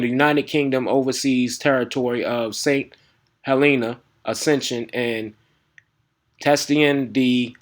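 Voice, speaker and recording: A man with giving a casual lecture to viewers. He reads text aloud haltingly, with restarts, and keeps asking "all right?". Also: medium pitch. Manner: talks informally, often asking "all right?". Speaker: video host.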